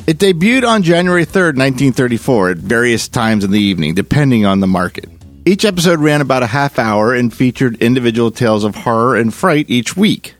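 A man hosting with scripted narration, measured and given to dramatic emphasis. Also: mid-range voice, big pitch swings. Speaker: host. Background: music.

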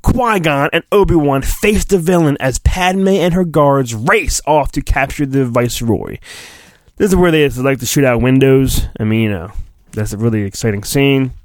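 A man's voice: mid-range voice, normal speed, no echo. A man hosting a podcast, reading a storybook in a mock-dramatic, playful way with casual asides.

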